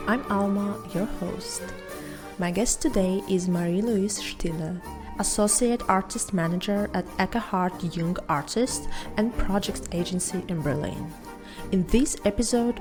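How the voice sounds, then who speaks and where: Female podcast host, courteous read intro; clear music bed behind. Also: mid-range voice. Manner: polite, scripted introduction. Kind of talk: podcast interview.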